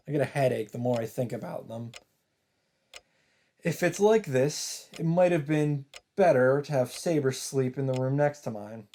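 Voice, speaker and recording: A man's voice: medium pitch, warm tone. A man doing casual let's play commentary, reacting aloud and reading game text out in English. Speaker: let's player. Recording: music playing behind. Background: game music.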